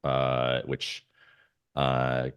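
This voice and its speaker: Very deep-voiced man, dry close sound. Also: completely flat delivery, extremely slow, fairly bright tone, clean tone, dry recording. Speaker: trader guest being interviewed. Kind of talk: casual interview talk on markets.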